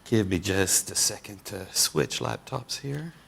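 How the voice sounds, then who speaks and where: A man giving a conference keynote as an agile coach, speaking in a relaxed, conversational way. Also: fairly low pitch, unhurried, creaky, noisy background. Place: conference hall.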